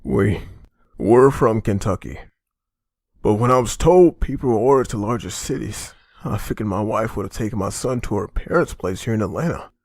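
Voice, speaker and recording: A man's voice: fairly low pitch, normal speed, slightly rough, no echo, clean studio sound. A man playing a weary survivor, an anxious husband and father, in a dubbed comic drama. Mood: bewildered, worried.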